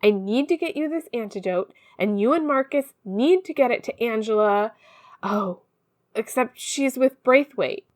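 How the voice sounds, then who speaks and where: A woman's voice: a little high, lively intonation, a little slow. A woman playing a chatty, slangy, conspiratorial narrator in an audio comedy, talking casually and gossipily. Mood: urgent, conspiratorial. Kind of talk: conversational audio drama narration.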